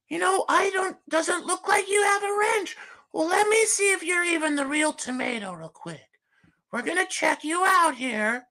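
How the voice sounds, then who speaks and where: A man voicing a gushing woman host in falsetto on a comedic livestream, starting almost every line with "well". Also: vocal fry, pushed and bright, exaggerated voice. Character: shrill fake woman hostess, gushing. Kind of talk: comedic livestream character bit.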